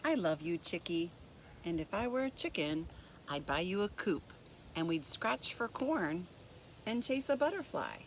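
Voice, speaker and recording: A woman reading a picture book aloud as a story time host, speaking deliberately and expressively to young children. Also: on the low side, slight background noise. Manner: deliberate, expressive, addressing young listeners directly.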